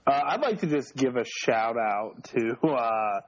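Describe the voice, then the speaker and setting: A man's voice: medium pitch, animated, measured pace. A man chatting on a casual podcast, laughing often and teasing the others. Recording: dull lo-fi sound.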